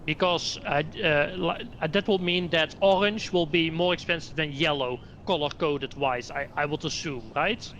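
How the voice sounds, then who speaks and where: Dutch man, somewhat high-pitched, hesitant casual chatter, faint hum behind. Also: moderate pace, few pauses, a bit bright, muffled recording. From Netherlands. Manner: hesitant, thinking aloud with fillers. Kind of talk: casual gaming chat.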